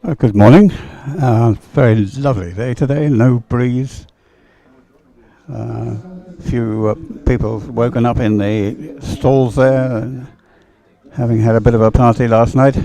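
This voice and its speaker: A man giving live, unscripted sports commentary as a race commentator, with a halting, rambling delivery full of hesitation. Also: mid-range voice, creaky, dark tone, poor audio.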